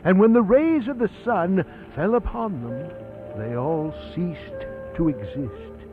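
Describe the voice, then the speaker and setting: A man's voice: high-pitched, lively intonation, slow pace. A man narrating a radio horror play in a solemn, portentous, dramatic style. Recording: thin phone-like band-limited audio with music.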